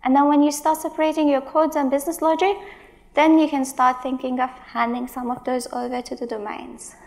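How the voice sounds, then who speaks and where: Conference speaker, woman in her thirties, high voice with gravelly fry, emphatic and exasperated. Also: flat delivery, fairly quick, darkish tone. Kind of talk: tech conference talk with Q&A. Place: large stage in a hall.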